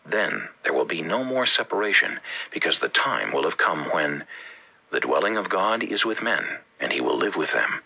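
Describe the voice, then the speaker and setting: A man from the Bahamas with a Bahamian accent, reading a religious teaching with measured, emphatic phrasing. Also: deep voice, big pitch swings, creaky, pushed and bright, breathy edge, low-quality recording. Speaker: preacher. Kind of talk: read-aloud religious teaching.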